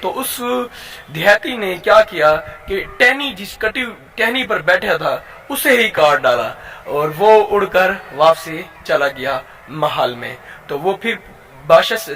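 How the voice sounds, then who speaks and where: A man with a Pakistani accent and a high-pitched, bright, forceful voice tells a simple moral tale. He narrates informally, in a halting way, often repeating a phrase before he carries on. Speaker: YouTube storyteller and channel host.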